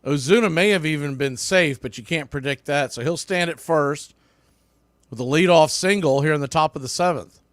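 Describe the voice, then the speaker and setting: A man's voice: a little high, normal speed, no room sound. A man calling baseball play-by-play live in radio style, with clipped calls that swell on the action.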